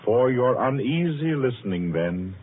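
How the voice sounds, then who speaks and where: A man narrating a scripted 1940s radio thriller in a measured, formal and dramatic manner. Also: mid-range voice, expressive, breathy edge. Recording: muffled old radio broadcast recording. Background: loud orchestral music.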